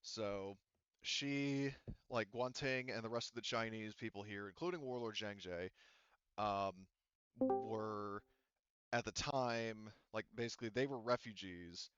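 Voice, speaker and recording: Halting, low-voiced man as game master. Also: a bit bright, smooth, no echo, lo-fi sound. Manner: halting, rambling, with repeated restarts and hedging asides. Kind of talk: narrating a tabletop roleplaying game, off the cuff.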